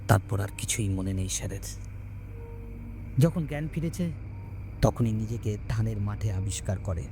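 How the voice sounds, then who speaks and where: A man narrating a scripted horror story in a dramatic, suspenseful way with deliberate pauses. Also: lowish voice. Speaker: storyteller, channel host. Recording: background music under voice. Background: music.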